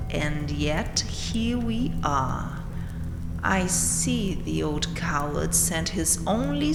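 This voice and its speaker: Lady doing a cold taunting vampire queen, slow n deliberate, big castle reverb, haughty and dominant. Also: on the low side. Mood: cold, bitter. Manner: deliberate, haughty, menacing, with mocking pauses. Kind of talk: dramatic audio roleplay addressed to the listener. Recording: roomy, long hall-like reverb. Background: dark castle ambience music.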